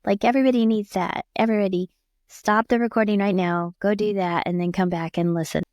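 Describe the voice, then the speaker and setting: A woman's voice: mid-range voice, steady tone, a little fast, a little mellow, no room sound, muffled recording. A woman hosting a podcast, speaking in an affirming, effusive way to her guest. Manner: affirming, effusive, with frequent "yeah" backchannels. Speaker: podcast host. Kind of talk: conversational interview.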